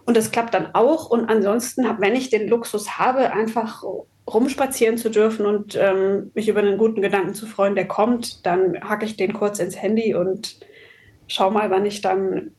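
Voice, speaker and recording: Woman author getting interviewed, chatty n reflective, mid pitch, drops english words in. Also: steady tone, normal speed, a little mellow. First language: German. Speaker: author and copywriter, interview guest. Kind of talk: casual, reflective interview conversation.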